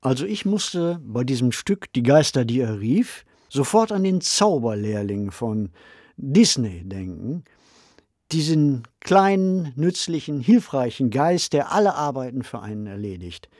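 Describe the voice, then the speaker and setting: A man co-hosting a discussion, deliberately setting out ideas and questions in a semi-prepared way. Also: medium pitch, sing-song, darkish tone, no echo, studio quality. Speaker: podcast co-host posing questions.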